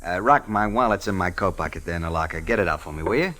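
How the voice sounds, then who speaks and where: An actor playing a pushy, furtive prizefighter who talks in slang, in an old-time radio drama. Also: male voice, low-pitched, animated, fast talker. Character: furtive, pushy male prizefighter, street-talking. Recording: noisy old radio recording.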